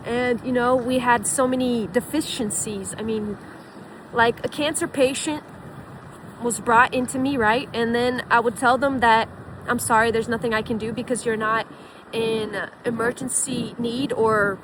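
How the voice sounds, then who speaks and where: A Brazilian woman with a slightly high voice tells a personal story in a loose, rambling way, stopping and restarting and leaning on fillers like "you know" and "right". Faint outdoor noise hums under the recording. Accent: Brazilian Portuguese. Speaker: former physician.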